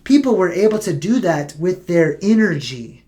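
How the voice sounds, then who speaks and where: A man's voice: sits high, very animated, normal speed, a little mellow. A young man hosting a casual live stream, losing his voice, rambling and correcting himself as he talks. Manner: rambling, self-correcting, conversational.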